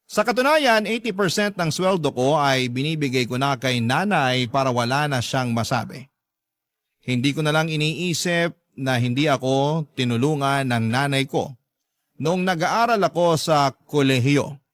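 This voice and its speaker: A man playing a long-suffering young man who narrates his own story in a measured, confiding way for a radio drama. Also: medium pitch, a bit bright, dry recording, clean studio sound, close to an everyday voice. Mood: earnest, wistful. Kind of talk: scripted first-person narration for radio drama.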